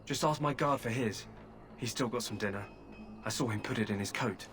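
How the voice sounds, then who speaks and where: A man's voice: on the low side, brisk, background noise, lightly acted. Caged deserter, hungry and cheeky, voiced by a man; wry, wheedling delivery. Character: hungry, flirtatious male prisoner, a deserter. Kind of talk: video game voice acting.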